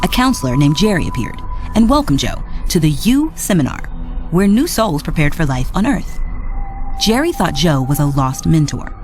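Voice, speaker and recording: A woman narrating a storybook read-along in a lively, expressive way, with soft music behind. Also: low-pitched.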